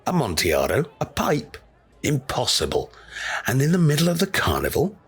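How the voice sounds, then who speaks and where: A man reading aloud and voicing a tipsy, proud male wine connoisseur in carnival motley, with excited, incredulous outbursts. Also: medium pitch, moderate pace, husky, soft background music, pitched above the performer's own voice, exaggerated voice.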